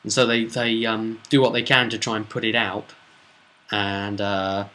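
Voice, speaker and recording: A man's voice: on the low side, level tone, unhurried, pushed and bright. A man chattily recapping a tabletop role-playing session as game master, telling it in a rambling, casual way.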